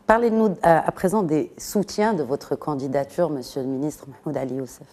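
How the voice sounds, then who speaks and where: A woman's voice: deep voice, moderate pace, mellow. A woman interviewer asking formal, polite questions, with hesitant fillers.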